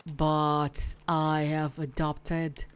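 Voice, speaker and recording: A woman's voice: sits low, flat delivery, drawn-out words, slight background noise. A Filipino woman whose first language is Tagalog, chatting casually while streaming a game, hesitant and full of fillers and restarts. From Philippines.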